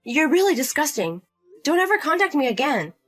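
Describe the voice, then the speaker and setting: A woman voices a disgusted, defiant young wife who snaps her refusals again and again. The voice jumps well above its usual pitch and rings bright and sharp. This is a voiced drama story. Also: very animated, brisk, faint music behind.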